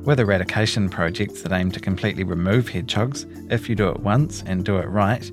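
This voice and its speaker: A man with a New Zealand accent reads a scripted long-form news story in a measured, articulate storytelling delivery. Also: sits low, music in the background. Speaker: reporter reading his own long-form article. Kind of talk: scripted narration of a feature story.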